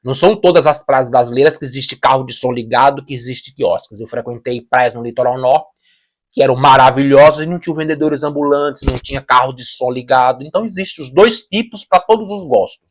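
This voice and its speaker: Brazilian man, reaction host, mid-pitched, emphatic and repetitive, restarts his lines as he argues. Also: brisk. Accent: Brazilian Portuguese. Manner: emphatic, repeats phrases, restarts sentences. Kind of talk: casual opinion commentary.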